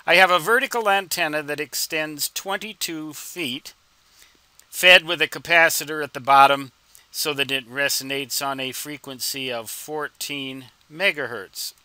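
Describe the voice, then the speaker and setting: A man in his sixties giving an informal explanatory tutorial, with deliberate, emphatic phrasing and rhetorical questions. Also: fairly high pitch, sharp tone, clean tone, no echo. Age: 60s. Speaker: instructor and ham radio hobbyist.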